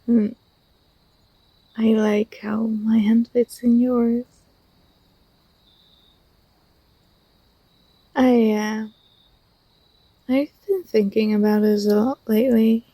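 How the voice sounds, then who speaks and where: A young woman playing an affectionate, teasing university student in an intimate ASMR roleplay, speaking softly with a tender, hesitant delivery. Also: fairly high pitch, flat delivery, slow pace, pauses often, breathy edge, no echo, full character voice, rough recording. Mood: tender, shy.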